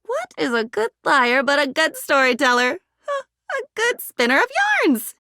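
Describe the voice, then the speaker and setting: A woman voicing a sentient diary in a video game, newly self-aware, wistful, curious and excitable, musing in a theatrical, halting way. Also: high voice, dry recording, clean studio sound, full character voice. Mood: flustered, agitated. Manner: theatrical, musing, halting, swinging from hushed to exclaiming.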